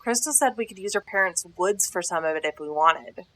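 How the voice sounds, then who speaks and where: A woman's voice: on the low side, animated, fairly quick, fairly bright tone, a little hiss or hum, low-quality recording. A woman playing a blunt, bossy teenage girl in an audio drama, speaking in a brusque, slangy, impatient way. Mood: critical.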